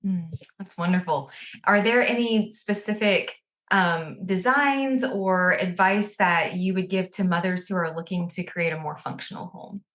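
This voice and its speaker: A woman's voice: lowish voice, measured pace, a bit bright. A woman hosting a podcast, reading a scripted guest introduction and then chatting casually in an upbeat manner. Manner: upbeat, reads introduction smoothly. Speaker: podcast host.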